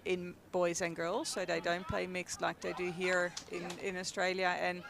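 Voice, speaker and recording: A woman's voice: lowish voice, level tone, normal speed, creaky, a bit bright, a little hiss or hum. A young Dutch woman with a Dutch accent, chatting casually as a guest commentator at a hockey game, with lots of ums and laughs. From Netherlands. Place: outdoors at a field.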